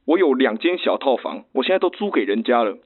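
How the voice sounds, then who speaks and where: A thin phone-line sound carries an awkward, eager suitor. His mid-pitched voice swings animatedly as this man fumbles and stammers bashfully, in radio drama style. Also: big pitch swings, a little fast, slightly rough. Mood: eager, fumbling. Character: awkward, eager, clumsy practical male suitor. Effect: telephone filter.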